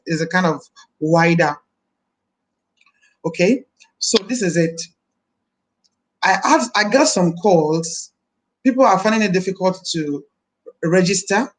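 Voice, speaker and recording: A woman in her thirties, a minister, chatting on a live stream. Her voice is deep this morning, and she talks in a friendly way, repeating phrases and blessing her viewers. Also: poor audio.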